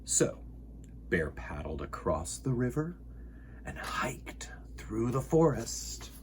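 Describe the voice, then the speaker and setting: A man's voice: medium pitch, big pitch swings, slow, in a whisper. A man hosting a children's storytime, reading picture books aloud with playful, theatrical delivery and rambling asides. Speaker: storytime host.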